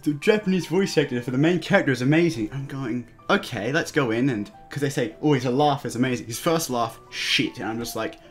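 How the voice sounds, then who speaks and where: A man's voice: mid-range voice, fairly quick, few pauses, faint music behind. A man in casual, opinionated gaming commentary, chatting with a co-host and swearing freely. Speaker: let's play co-host.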